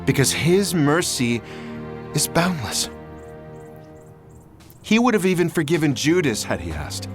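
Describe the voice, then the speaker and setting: A gentle, consoling John played by a man speaks in an audio drama. He talks slowly and softly, and his pitch rises and falls widely. Light music plays underneath. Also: sing-song. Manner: soft, soothing, hushed.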